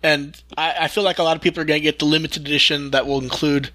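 A man's voice: a little high, level tone, fast, pushed and bright. A man hosting a podcast, going through news in a casual discussion style. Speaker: podcast host.

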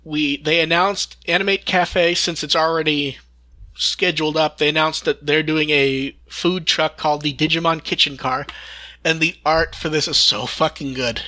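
Bright-voiced American man chatting on a podcast. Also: fairly high pitch, steady tone, normal speed. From California, United States. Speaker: podcast host. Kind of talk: casual podcast chat.

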